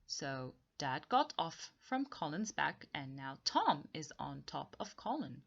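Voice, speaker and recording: A woman teacher leading a read-along story lesson for young children, explaining things slowly and deliberately with repetition. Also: sits low, sing-song, gravelly, dull audio. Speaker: teacher reading aloud.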